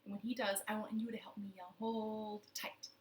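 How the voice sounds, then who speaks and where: A woman's voice: mid-range voice, steady tone, fast talker, a little hiss or hum. A woman telling a children's story expressively from her living room, speaking directly to young listeners. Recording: roomy, echoing home living room.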